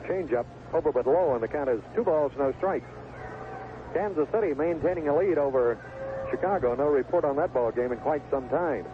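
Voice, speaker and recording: Male play-by-play baseball announcer, folksy and colorful, higher-pitched voice, tinny 1970s AM radio sound. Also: normal speed, background noise. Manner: folksy, colorful turns of phrase. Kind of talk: live play-by-play sports commentary. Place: outdoor ballpark broadcast booth.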